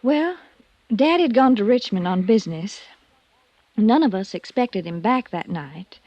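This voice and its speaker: A woman's voice: mid-range voice, expressive, a little slow, a little mellow, dry recording. Shaken young woman, earnest n guarded, old time radio drama, dull dry sound. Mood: tense, recounting. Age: young adult. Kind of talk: 1950s radio drama acting. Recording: muffled vintage radio recording.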